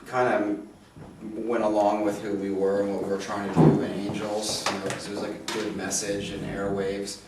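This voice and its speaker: A man's voice: fairly low pitch, level tone. Laid-back man in an echoey room. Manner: laid-back, halting, trails off. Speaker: band member guest. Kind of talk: casual interview chat. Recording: echoey room, far from mic, background noise.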